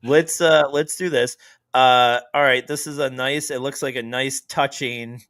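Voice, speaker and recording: A man's voice: medium pitch, fairly even intonation, a little slow, a bit bright, no echo. A man hosting a casual sports podcast who jokes, laughs a lot and swears now and then. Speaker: podcast host.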